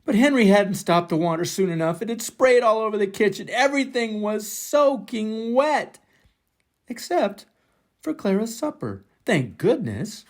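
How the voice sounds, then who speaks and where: An older man reads a children's picture book aloud in an expressive, dramatic way, drawing out words for emphasis. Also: high voice. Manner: dramatic, deliberate, drawn-out emphasis. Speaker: storybook reader for children.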